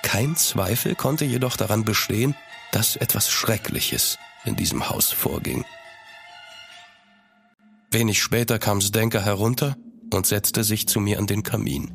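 An actor in a German horror radio play as a sceptical gentleman traveller, measured and grave. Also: lowish voice, vocal fry, soft background music. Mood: grave narration. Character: sceptical gentleman traveller recounting a dark tale. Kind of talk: scripted first-person radio drama narration.

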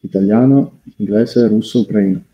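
Heiserer junger Mann, dumpfer Videoanruf. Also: male voice, fairly low pitch, a little fast, husky. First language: Ukrainian. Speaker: video chat stranger. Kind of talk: casual chat. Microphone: headset. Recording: dull lo-fi web call.

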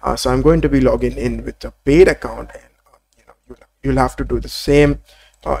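A man presenting a screen-recorded software tutorial, talking casually with many fillers and small apologies. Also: mid-range voice, gravelly.

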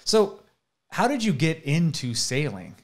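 A man's voice: a little high, sing-song, unhurried. Enthusiastic male host on a podcast mic. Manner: enthusiastic, self-deprecating, many restarts. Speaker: interview host. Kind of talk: casual interview chat. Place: indoor studio room with posters. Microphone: desk podcast mic, seen.

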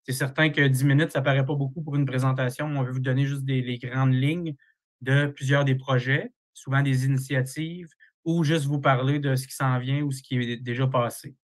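Even-toned man chairing a video meeting. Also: mid-range voice. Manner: procedural, halting with many fillers. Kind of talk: chairing a formal online meeting. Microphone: video call.

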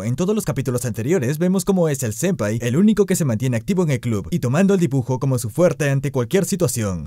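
A man's voice: medium pitch, mellow, no echo, studio quality. A man narrating a scripted review as an anime reviewer, enthusiastic and opinionated.

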